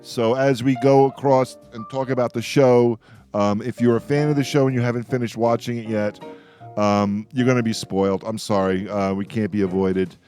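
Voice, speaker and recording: A man's voice: medium pitch, steady tone, moderate pace, a little mellow, soft background music. An older man hosting a casual radio roundtable in a jokey, rambling way. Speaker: radio show host. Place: radio show with remote guests.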